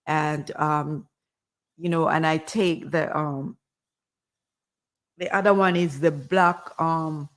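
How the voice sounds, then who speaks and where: A woman with a Jamaican accent, chatting casually as a guest and sharing health tips in a gentle, rambling way. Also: deep voice, fairly even intonation, takes it slowly, long pauses. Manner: gentle, rambling, many fillers. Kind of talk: casual health advice chat.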